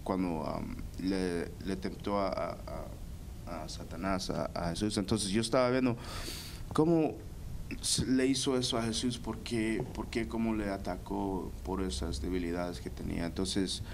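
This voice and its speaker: Low-voiced Guatemalan man, halting testimony. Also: steady tone, vocal fry, background noise. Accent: Guatemalan Spanish. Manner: hesitant, many restarts and repeated words. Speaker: guest giving testimony.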